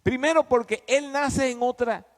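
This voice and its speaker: A man's voice: very high-pitched, moderate pace. A man preaching in a conversational, emphatic teaching style, often prompting his listeners with questions. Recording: echoey hall with long reverb.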